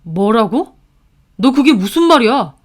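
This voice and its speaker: A woman's voice: medium pitch, lively intonation, slow. A woman voicing a brusque, defensive older brother with a blunt manner in a dramatized story. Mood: stunned. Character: brusque, dismissive older brother, grown man.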